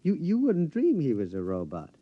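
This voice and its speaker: Excitable henpecked schemer, wildly swooping pitch. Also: male voice, moderate pace, no echo. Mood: smug, delighted. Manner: excitable, stammering, conspiratorial. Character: henpecked husband, gleefully scheming and secretive. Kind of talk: mid-century radio drama acting. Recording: dull, noisy vintage radio recording.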